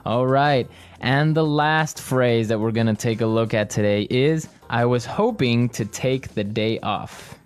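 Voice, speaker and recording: A man's voice: mid-range voice, smooth. Upbeat male English teacher. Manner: upbeat, repeats phrases slowly for learners. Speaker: podcast co-host, English teacher. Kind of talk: scripted English lesson.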